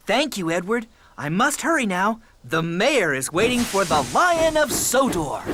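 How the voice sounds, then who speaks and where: A woman voicing an eager, earnest young male steam engine in a children's cartoon, with a polite, excitable manner. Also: medium pitch, unhurried. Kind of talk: children's cartoon character acting.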